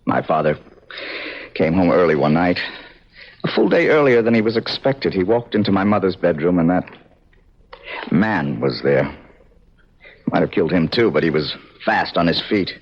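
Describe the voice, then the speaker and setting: An actor playing a haunted, bitter man in an old-time radio drama, brooding and deliberate, with dramatic pauses. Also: deep voice, expressive. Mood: haunted, anguished. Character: haunted, bitter man traumatised by his parents' past. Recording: dull lo-fi vintage radio broadcast.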